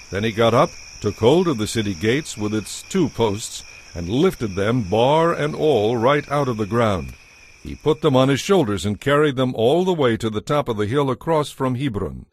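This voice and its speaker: Casting: male narrator for dramatized scripture, mid-pitched, measured and solemn scripted storytelling. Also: no room sound.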